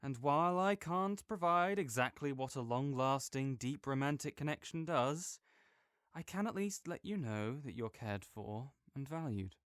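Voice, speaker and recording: A young man playing a caring, easygoing friend who speaks gently and reassuringly to the listener in an audio roleplay, with some soft joking. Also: mid-range voice, lively intonation, measured pace, smooth, dry recording, clean studio sound.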